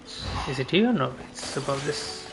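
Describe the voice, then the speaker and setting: A man's voice: medium pitch, lively intonation, normal speed, creaky. A man giving casual gameplay commentary as a game streamer. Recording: game music behind the voice.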